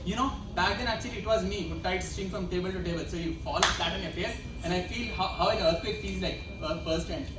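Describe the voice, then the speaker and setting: A woman's voice: on the low side, brisk, few pauses, close to an everyday voice. A woman playing a cheeky, insolent former pupil in an amateur comic stage play, brash and argumentative. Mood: mocking. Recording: noisy echoey stage, far from mic.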